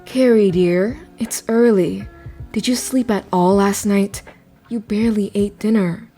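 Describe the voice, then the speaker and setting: A woman playing a caring, gently realistic mother in a radio drama, soothing and matter-of-fact. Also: mid-range voice, unhurried, soft background music, natural voice. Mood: concerned.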